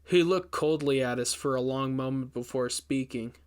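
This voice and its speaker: A man's voice: medium pitch, normal speed, clean tone. A man reading scripted first-person horror fiction as a storyteller.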